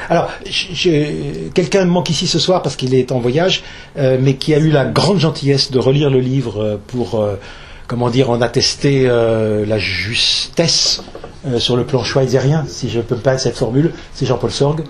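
A man's voice: medium pitch, moderate pace. Hesitant French man giving a talk. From Alsace. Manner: hesitant, many restarts and fillers. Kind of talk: lecture with audience questions.

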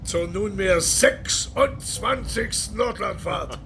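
A man's voice: high-pitched, takes it slowly, gravelly, a little hiss or hum, full character voice. A man playing a jovial, pompous German emperor in a satirical radio play. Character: boisterous German emperor hosting a sea cruise. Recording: loud music and crowd behind.

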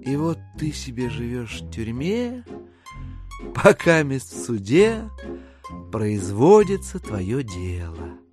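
An actor playing a sly, self-amused gentleman schemer who muses aloud theatrically in an audio drama. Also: mid-range voice, sing-song, slow, faint music behind. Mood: amused, imaginative.

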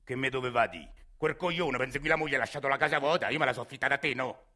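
A crude, menacing Roman landlord, as a man acts him out in a radio drama. He talks in a sly, coarse Roman accent with a sharp, tense and very bright tone. His pitch sits in a plain middle range. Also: racing. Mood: sly swaggering. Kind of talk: radio theatre acting.

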